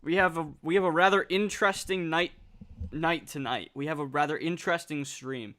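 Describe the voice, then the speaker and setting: A nineteen-year-old man chatting casually on a livestream, reading out viewer comments, excitable and swearing freely. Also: fairly high pitch, moderate pace.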